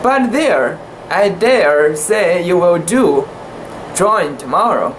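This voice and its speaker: A woman's voice: fairly low pitch, slow pace. Woman reading as blunt old sea captain, echoey. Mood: stern, grudging. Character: gruff old male sea captain, prejudiced, plain-spoken. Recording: echoey room with steady background hiss.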